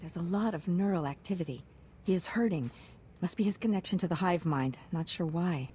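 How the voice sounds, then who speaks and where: Actress as a low-voiced, brisk military scientist. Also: female voice, warm tone, a little hiss or hum, lightly acted. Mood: urgent, clinical. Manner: clipped, businesslike. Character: brisk, pragmatic military science commander, hard-nosed woman. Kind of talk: video game character acting.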